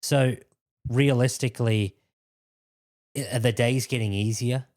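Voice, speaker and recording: A man's voice: medium pitch, steady tone, unhurried, a little mellow. An Australian man hosting a podcast, asking questions in an informal, bantering, chatty way. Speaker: podcast host. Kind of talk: casual chat, interviewing.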